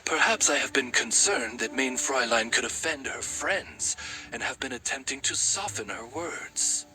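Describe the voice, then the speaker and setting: Courteous, dryly teasing gentleman attendant voiced by a man. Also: medium pitch, lively intonation, moderate pace, natural voice. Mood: wry, courteous. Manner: formal, polite, drily wry. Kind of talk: anime game voice acting. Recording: background music behind the voice.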